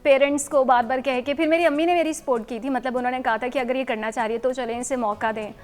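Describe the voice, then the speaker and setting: A woman's voice: sits high, fast talker. A native Punjabi-speaking woman, a news anchor guest on a TV talk show, speaking candidly about her own experience. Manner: candid, lively storytelling. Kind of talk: studio talk show conversation. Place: TV studio.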